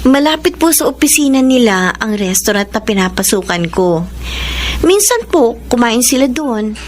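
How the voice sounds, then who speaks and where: A woman's voice: on the high side, sing-song, moderate pace, slight background noise. An actress playing Salome, a respectful young waitress who answers her mother's questions in a classic radio drama. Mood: earnest. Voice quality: polite, respectful, softly earnest.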